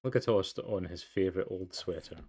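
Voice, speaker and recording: A man's voice: sits low, brisk, a little mellow, husky. A man doing let's play commentary and reading a game's narration aloud. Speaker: let's player. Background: game music.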